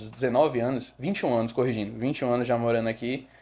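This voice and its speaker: A young man from Rio de Janeiro with a Bahian Brazilian accent, a photographer guest telling his story in a relaxed podcast chat with fillers and self-corrections. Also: medium pitch, fast talker, breathy edge. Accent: Brazilian Portuguese, Bahia.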